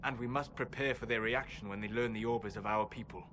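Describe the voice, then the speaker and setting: A man playing a learned, wary elf adviser in a video game, speaking calmly and gravely with deliberate, formal phrasing. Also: lowish voice, noisy background, natural voice. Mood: grave, measured. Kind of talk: dramatic video game dialogue.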